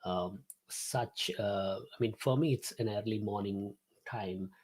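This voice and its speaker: A man's voice: lowish voice, slow, darkish tone, slightly rough. A man, hosting a live-streamed class from his home office, chatting to viewers with many fillers and restarts. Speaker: host and instructor.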